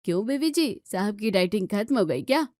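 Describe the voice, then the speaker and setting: A woman's voice: mid-range voice, animated, fairly quick, a little mellow, dry recording, studio quality, lightly acted. A woman voicing a wheedling household maid with a pleading manner in an Indian family story. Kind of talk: acted Hindi family story dialogue.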